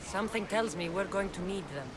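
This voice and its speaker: An actor playing a bold, wry mercenary captain in a video game, with a terse, dry delivery. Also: male voice, sits high, steady tone, fairly quick, very noisy, natural voice. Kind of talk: video game cutscene acting.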